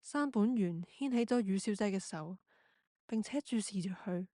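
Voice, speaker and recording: A woman's voice: medium pitch, lively intonation, normal speed, dark tone, dry recording. Woman narrator, dry close sound. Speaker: drama narrator. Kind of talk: scripted story narration.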